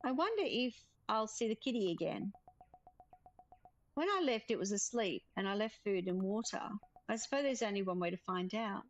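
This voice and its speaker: A woman reading the part of a gentle, caring young witch in a cozy visual novel. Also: medium pitch, animated, moderate pace, highs cut off, faint music behind, natural voice. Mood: curious. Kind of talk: visual novel character read aloud.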